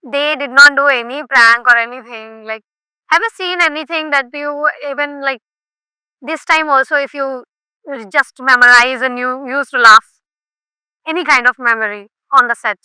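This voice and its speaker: A woman's voice: high-pitched, steady tone, normal speed, sharp tone, no echo, poor audio. A woman interviewer who asks short, prompting questions in loosely phrased English.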